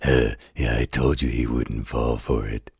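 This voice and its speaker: A man playing a blunt, loyal, wisecracking supernatural pack mate in a roleplay audio drama, with a raspy, gravelly voice and a laid-back manner. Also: very deep voice, fairly even intonation, measured pace, a little mellow, dry recording, full character voice. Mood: amused.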